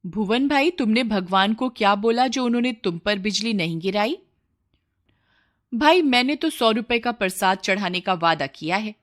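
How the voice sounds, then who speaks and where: A woman's voice: mid-range voice, expressive, moderate pace, close to an everyday voice. Woman playing a frightened, pleading village man. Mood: wary. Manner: pleading, exclaiming. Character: frightened village man bargaining with God for his life. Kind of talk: moral storybook character voice.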